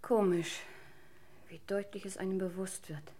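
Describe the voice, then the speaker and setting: A woman playing a proud, suspicious wife in a radio crime drama, formal, intense and dramatically deliberate. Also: mid-range voice, steady tone, warm tone, breathy edge. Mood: stunned, hushed.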